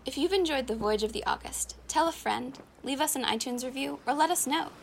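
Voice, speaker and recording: A woman presents the scripted credits of an audio series. Her voice sits fairly high and carries a lot of creak. There is slight noise in the recording. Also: a little fast. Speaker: co-writer and presenter of the show. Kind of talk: scripted podcast closing credits.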